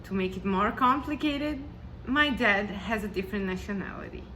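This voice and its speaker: Guest speaker, woman, South American Spanish-Portuguese accent, earnest read talk, mid pitch, faint noise. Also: normal speed, sharp tone. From Argentina and Brazil. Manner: earnest, carefully read, reflective. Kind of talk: prepared personal talk.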